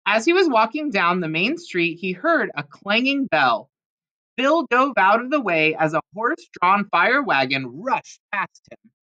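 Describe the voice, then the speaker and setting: A woman's voice: mid-range voice, very animated, unhurried, sharp tone, dull audio. A woman reading a children's storybook aloud expressively, with dramatic emphasis on key words. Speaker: storybook reader.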